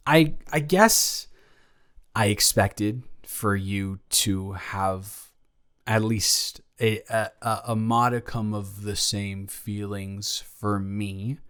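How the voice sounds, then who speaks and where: A man's voice: on the low side, fairly bright tone, smooth. A man playing a nervous, lovestruck young college dormmate in an intimate romantic audio drama, earnest and wordy, often stammering.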